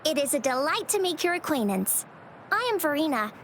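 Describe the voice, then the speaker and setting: A woman's voice: sits high, very animated, a little fast, a bit bright, a little hiss or hum. A woman voicing a sweet, polite, slightly awkward young girl in a game, with overly formal courtesy. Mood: polite, eager. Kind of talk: scripted game dialogue.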